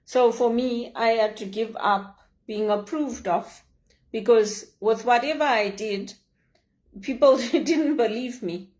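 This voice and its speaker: A middle-aged woman from Zimbabwe, speaking in an earnest, measured and heartfelt way in a personal reflective monologue. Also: fairly high pitch, a little mellow. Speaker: leadership vlogger.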